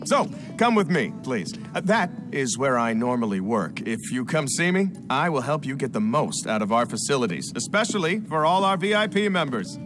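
An actor playing a fussy, eager-to-please casino guest services director in a video game, with a formal, obsequious, easily flustered manner. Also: male voice, medium pitch, sing-song, normal speed, few pauses, lightly acted. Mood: showy, eager. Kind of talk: video game cutscene acting. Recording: music bed behind the voice.

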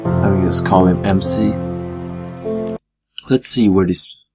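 A man with a Swedish accent giving relaxed let's-play commentary, thinking aloud as he plays. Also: deep voice, loud music behind the voice. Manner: relaxed, thinking aloud while playing, slow with fillers. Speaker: gameplay commentator.

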